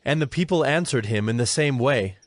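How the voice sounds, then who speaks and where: A man narrating scripture from a script in a measured, formal manner. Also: mid-range voice, expressive.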